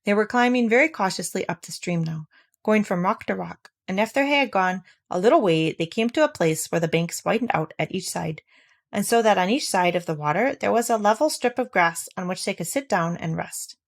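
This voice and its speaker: A woman reading a children's storybook aloud at an easy pace. Her narration is gentle and even, letting the story carry itself. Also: medium pitch, brisk. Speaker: reader.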